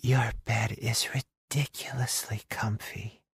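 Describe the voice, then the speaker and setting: A man's voice: medium pitch, little pitch movement, slow pace, slightly rough, no room sound, whispered, pitched above the performer's own voice. A man voicing a feisty, easily flustered young woman in romance audiobook dialogue. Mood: sleepy, affectionate. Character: young woman, flustered, sleepy then frantic, feisty girlfriend.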